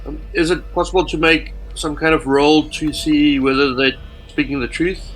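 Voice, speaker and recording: A man's voice: fairly high pitch, steady tone, moderate pace, a bit bright, slight background noise, poor audio. A man playing a tabletop role-playing game, casually putting questions to the game master.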